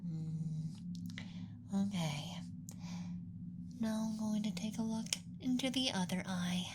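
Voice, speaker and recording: A man voicing a playful young alien abductor in a whispered ASMR roleplay, speaking softly in a teasing, clinical manner. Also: high-pitched, takes it slowly, very noisy. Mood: curious, clinical.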